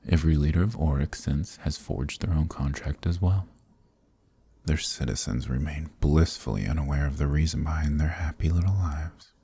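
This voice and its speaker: An extremely deep-voiced archdemon, acted by a man, ancient and smug, speaks in a dark fantasy ASMR roleplay. The tone is dark and heavily gravelled, with a husky breath to it. Each phrase comes slowly, with condescending, dominant authority. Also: steady tone, dry recording, full character voice. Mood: smug, weary. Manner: slow, deliberate, condescending, commanding.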